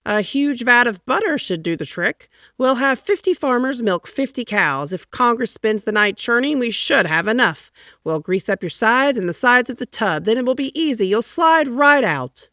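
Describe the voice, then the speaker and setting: A woman's voice: fairly high pitch, lively intonation, moderate pace, pushed and bright, dry recording, clean studio sound, pitched above the performer's own voice. A woman voicing an eager male agriculture official in a storybook, explaining with upbeat emphasis. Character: eager, folksy male Secretary of Agriculture with big plan.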